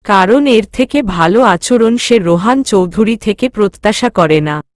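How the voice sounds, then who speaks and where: A woman's voice: mid-range voice, animated, measured pace, no room sound, clean studio sound. A woman reading scripted narration of a romantic drama story as a storyteller.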